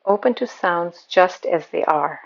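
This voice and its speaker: A woman guiding a meditation as a yoga nidra teacher, speaking in a calm, soothing, unhurried manner. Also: on the low side, creaky, lo-fi sound. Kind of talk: guided meditation.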